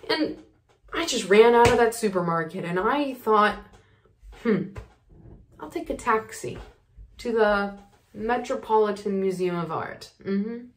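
An actress performing a neurotic, self-absorbed woman in a comic monologue, rambling and sighing dramatically. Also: on the high side.

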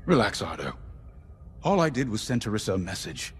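An actor playing a middle-aged rebel commander in a video game, authoritative, measured and secretive. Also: male voice, on the low side, sing-song, slight background noise. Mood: determined. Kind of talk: video game briefing dialogue.

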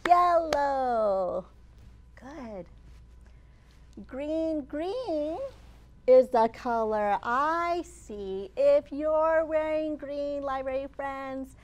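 A woman in her thirties, a children's librarian hosting storytime, reading aloud expressively and deliberately with dramatic emphasis for young children. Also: high voice, darkish tone. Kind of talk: animated read-aloud of a picture book for young children. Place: open library children's area, indoors.

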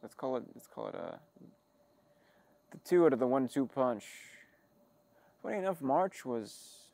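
A man with a creaky, gravelly edge speaks at a middling pitch in a rambling personal log. He is halting and wry, circling back on his own phrases and correcting himself as he goes. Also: sing-song, a little mellow. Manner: halting, self-interrupting, wry and figurative. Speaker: creator of an audio log channel.

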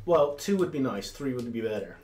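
A man's voice: mid-range voice, animated, a little fast. A joking, sweary man running a casual tabletop game and teasing the players. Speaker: game master running the session. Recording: echoey room, loud table knocks and clicks.

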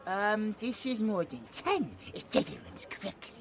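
A childlike possessed friend, high and bouncy. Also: male voice, big pitch swings, normal speed, vocal fry, a little hiss or hum, exaggerated voice. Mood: childlike, excited. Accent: British. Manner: broken baby-talk grammar, speaks of himself in third person. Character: childlike possessed young man, sweet with sudden menace. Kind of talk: ASMR audio roleplay.

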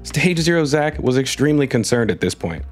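A mid-pitched man narrates a scripted space news video over faint background music. He tells it as a story, dry and wry, with comic asides. The voice-over is clean and studio-made. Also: very animated, a little fast.

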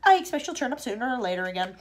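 A woman voicing a blithe, eccentric male factory owner in a storybook reading, calm and matter-of-fact. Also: fairly high pitch, rapid-fire, pitched above the performer's own voice. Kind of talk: storybook character voice in a read-aloud.

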